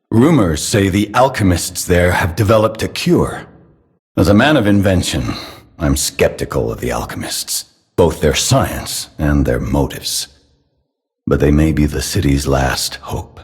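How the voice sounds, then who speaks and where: An actor playing a doting, sorrowful elderly inventor father in a video game, speaking solemnly with a husky, breathy voice. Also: very deep voice, heavily acted. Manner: slow, measured, solemn. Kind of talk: dramatic game character dialogue. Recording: long reverberant hall echo.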